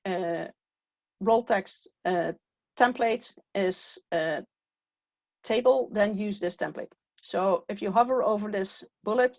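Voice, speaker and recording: A woman whose first language is Dutch walks through a software screen step by step, pausing on "uh" as she clicks. Her voice sits at a middle pitch and comes through a dull, lo-fi recording with a dry, close sound. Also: no room sound. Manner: step-by-step, with frequent "uh" fillers. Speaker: software trainer. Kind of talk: screen-share tutorial walkthrough.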